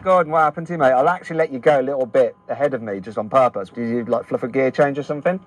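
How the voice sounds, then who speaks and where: A man with an English Midlands accent hosting a car vlog outdoors on a runway, excitable and laughing often. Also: medium pitch, racing. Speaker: car reviewer and host.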